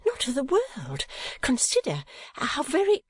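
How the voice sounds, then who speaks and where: A woman voicing a gentle, modest young daughter in an audiobook, earnestly protesting. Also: medium pitch, very animated, a little slow, breathy edge, no room sound, voice pushed up, exaggerated voice. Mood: anxious. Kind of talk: audiobook character acting.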